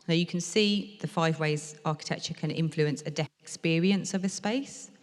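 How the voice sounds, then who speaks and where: A low-pitched woman interprets a lecture into speech, measured and explanatory, pausing on the odd filler. Her voice carries with a long reverb from a lecture hall at a museum event. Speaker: sign language interpreter voicing a deaf speaker. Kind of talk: interpreting a design talk aloud.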